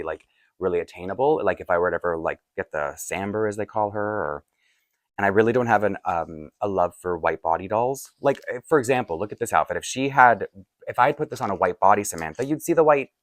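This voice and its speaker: Male unboxing vlogger, deep groggy voice, informal rambling delivery with frequent self-interruptions. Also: a bit bright, dry recording, clean studio sound. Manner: chatty, self-interrupting asides, chuckling. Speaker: doll collector and unboxing vlogger. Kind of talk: casual rambling unboxing chat.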